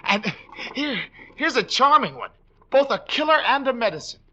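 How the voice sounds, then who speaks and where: An actor playing a wasted, morbid invalid obsessed with poisons, lecturing with theatrical relish in 1940s radio style. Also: male voice, very high-pitched, sing-song, moderate pace, pushed and bright, heavily acted. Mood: macabre relish. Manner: theatrical, eager, relishing his macabre lectures. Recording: dull, lo-fi 1940s radio recording.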